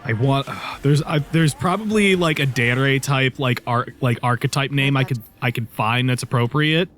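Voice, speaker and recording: A man co-hosting a let's play with casual, rambling, profane commentary, often halting as he searches for words. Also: mid-range voice, a bit bright, faint music behind.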